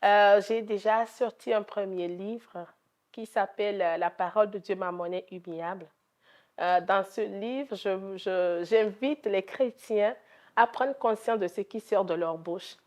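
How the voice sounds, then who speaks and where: A woman's voice: medium pitch, a little slow, darkish tone. A woman from Togo with a Togolese French accent, answering interview questions in an earnest, devout way as a gospel singer.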